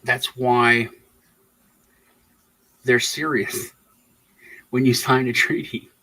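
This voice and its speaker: A man from Texas who was raised in Germany, hosting a casual political livestream chat and rambling with halting restarts. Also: medium pitch, little pitch movement, sharp tone, low-quality recording. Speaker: livestream host.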